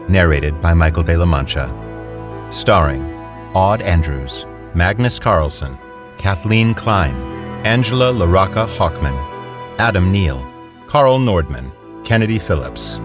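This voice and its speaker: A male narrator with a very deep voice reads a closing passage and the credits in a steady, measured way. Music plays clearly beneath him. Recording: music bed behind.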